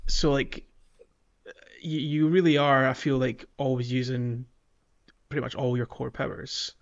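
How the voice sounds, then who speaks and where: A man's voice: medium pitch, little pitch movement, a little slow, gravelly. A man co-hosting a podcast, chatting casually about games on a slightly lo-fi recording. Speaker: podcast host. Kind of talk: casual gaming podcast chat. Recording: slightly dull, lo-fi sound.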